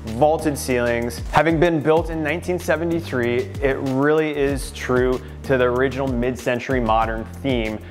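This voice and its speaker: Guy in his 30s showing off a house, chatty realtor patter, normal pitch, soft music in the back. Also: moderate pace, few pauses. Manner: upbeat, informative salesman patter. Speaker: real estate agent giving a home tour. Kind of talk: walkthrough home tour narration. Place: inside a renovated house.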